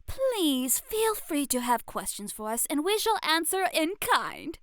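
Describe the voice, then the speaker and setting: A woman's voice: high-pitched, very animated, a little slow, fairly bright tone, no room sound, clean studio sound, exaggerated voice. A woman playing a playful, eccentric, elegant flirtation tutor in an ASMR roleplay, with coy, theatrical, grandly formal phrasing. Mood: proud, instructive.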